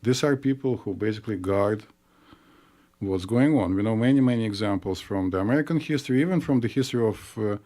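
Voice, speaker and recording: Russian-accented man, low and mellow. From Soviet Union. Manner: measured, explanatory, frequent uh fillers. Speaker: psychologist podcast co-host. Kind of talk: casual podcast discussion.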